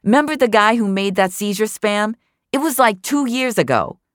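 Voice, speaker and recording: A woman's voice: medium pitch, normal speed, no echo, studio quality, voice pushed up, natural voice. A woman voicing a slangy, insistent young female friend who gossips and frets in an audio story.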